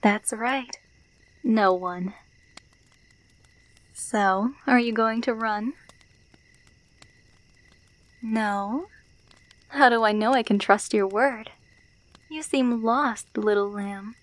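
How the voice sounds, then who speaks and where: Woman playing a slow, menacing werewolf girl. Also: fairly high pitch, no room sound, rough recording. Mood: taunting. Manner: slow, teasing, domineering, dropping into whispers. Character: menacing, possessive female alpha werewolf taunting her captive. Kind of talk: ASMR roleplay.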